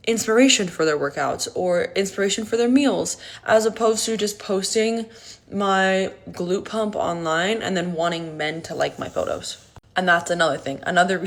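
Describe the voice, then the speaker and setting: Candid young woman with a low voice. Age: 20s. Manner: candid and emphatic, many "like" fillers. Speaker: fitness content creator. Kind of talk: casual talking-to-camera vlog.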